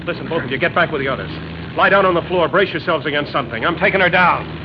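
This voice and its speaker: An actor playing a gruff, take-charge pilot with a blunt, commanding manner, in 1960s radio drama style. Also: male voice, on the high side, quick pace, close to an everyday voice. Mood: urgent. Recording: noisy, dull 1960s radio recording.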